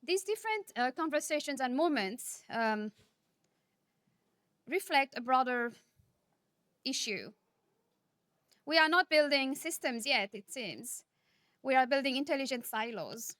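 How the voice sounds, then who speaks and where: A woman from Nepal, giving a conference keynote speech in a measured, earnest manner, often posing rhetorical questions. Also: high voice, lively intonation, fairly bright tone, dry recording. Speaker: keynote speaker.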